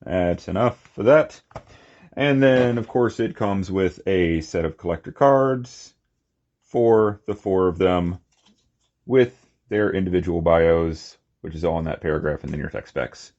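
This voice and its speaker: A man giving a casual, unscripted hands-on toy review, talking in short, halting phrases on a slightly muffled recording. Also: sits low, darkish tone. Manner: halting, short phrases while handling objects.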